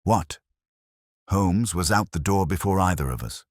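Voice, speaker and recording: A man's voice: low voice, expressive, normal speed, no echo, studio quality. A man reading scripted mystery narration as an audiobook storyteller.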